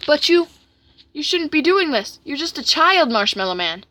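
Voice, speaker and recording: A woman puts on a frightened talking pumpkin in a storybook tale. The voice is high and bright, full of worry and reproach. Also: big pitch swings, moderate pace, dry recording, full character voice, rough recording. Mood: reproachful. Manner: worried, reproachful. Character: scared, pleading jack-o'-lantern friend.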